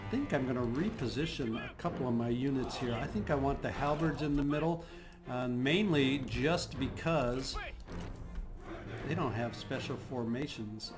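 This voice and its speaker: A man with a slightly scratchy voice giving unscripted strategy game commentary, thinking aloud as he goes. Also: mid-range voice. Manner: thinking aloud, hesitant, throat-clearing. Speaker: host of a gaming channel. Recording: game music behind the voice. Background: video game music.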